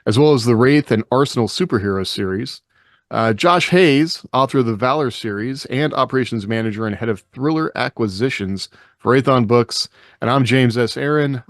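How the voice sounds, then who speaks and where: A man's voice: mid-range voice, no echo, studio quality. A man hosting a podcast in a casual, chatty panel style. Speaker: podcast host.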